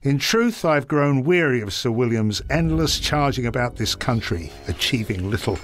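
A man's voice: medium pitch, very animated, moderate pace, close to an everyday voice. Man voicing an ambitious knight thinking aloud, mid voice, game music behind. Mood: reflective. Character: ambitious male knight narrating his choices. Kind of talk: game cutscene narration.

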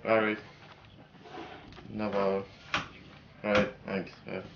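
Young male, deep flat delivery, informal go-between, captured on a lo-fi camera across the table. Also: takes it slowly. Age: young adult. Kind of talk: casual interpreting of a phone deal. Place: kitchen indoors. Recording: roomy, dull lo-fi, clear noise.

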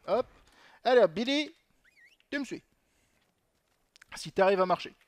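A man's voice: high voice, animated, a little slow, dry recording. A young man commenting on his gameplay in a chatty way, swearing often and talking to himself. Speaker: gaming channel host.

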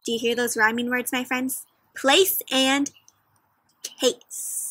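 A young adult woman hosting an interactive picture book read-aloud, questioning young children directly in an animated, teacherly way. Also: high voice, slow, dry recording, clean studio sound. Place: outdoors in a leafy garden.

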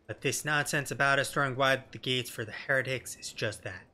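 A man voicing a blunt, sardonic woman rebel leader in a fantasy game, with colloquial, rough-edged wording. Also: mid-range voice, brisk, sharp tone. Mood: defiant, sardonic. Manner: blunt, colloquial, mildly cursing. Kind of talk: game dialogue read in character.

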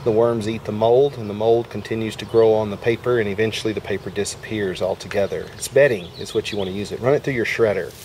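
A man with a lowish voice explains each step in a folksy, casual way while working with his hands. He is outdoors in a yard, picked up by the camera's own mic. Faint outdoor sound sits behind him. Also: fairly even intonation, fast, few pauses, darkish tone, a little hiss or hum. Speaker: homesteading video host.

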